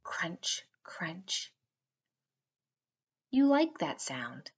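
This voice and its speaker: A woman narrating a guided meditation for children in a soothing, unhurried way with drawn-out phrasing. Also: fairly low pitch, very animated, slightly rough, lo-fi sound.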